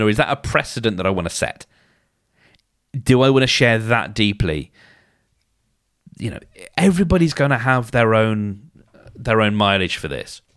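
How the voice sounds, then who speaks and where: A hoarse man hosting a casual solo podcast, thinking aloud and often restarting his sentences, talking close to the mic. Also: medium pitch, fairly bright tone, no room sound. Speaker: podcast host.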